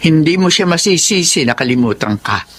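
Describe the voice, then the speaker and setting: A woman's voice: deep voice, expressive, a little fast, faint music behind. A woman playing a worried, reproving middle-aged mother in Tagalog melodrama style.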